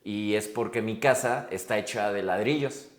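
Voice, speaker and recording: A man's voice: lowish voice, normal speed. A Mexican man hosting a podcast, chatting in a reflective, rambling way and often checking in with his guest. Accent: Mexican Spanish. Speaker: podcast host. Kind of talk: casual interview chat. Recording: roomy echo.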